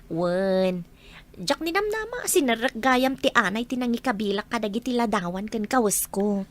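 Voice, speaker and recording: A wary, sorrowful mother, played by a woman with a fairly high voice and an Ilocano Filipino accent. She speaks earnestly, as in a radio drama about family hardship. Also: expressive, moderate pace, natural voice. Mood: apologetic, sad. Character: wary, burdened mother raising children alone. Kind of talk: Ilocano audio drama acting.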